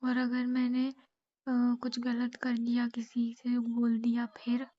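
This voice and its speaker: A woman's voice: fairly high pitch, completely flat delivery, slow pace, dry recording. A young woman chatting casually and intimately with her partner on a phone call, in a questioning, teasing way. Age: young adult. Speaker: girlfriend. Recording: muffled lo-fi phone call recording.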